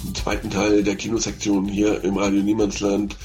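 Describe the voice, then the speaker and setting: A man's voice: fairly low pitch, completely flat delivery, moderate pace, darkish tone, soft background music. A man giving an unscripted film review as a radio critic, hesitant and thinking aloud as he goes.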